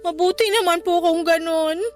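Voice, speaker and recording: A woman playing a devoted, forgiving young adult daughter in a radio drama, speaking gently and earnestly with a Filipino accent. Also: very high voice, fairly even intonation, moderate pace. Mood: reassuring. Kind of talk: emotional radio drama dialogue. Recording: music bed under the dialogue.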